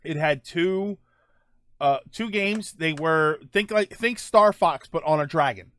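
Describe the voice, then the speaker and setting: Brief: male gaming podcast host, slightly high voice, dry close sound, informal read-aloud commentary with offhand asides. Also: a little slow, a bit bright, clean tone, no echo. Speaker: host of a video game podcast and livestream. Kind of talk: casual livestream commentary, reading out news notes.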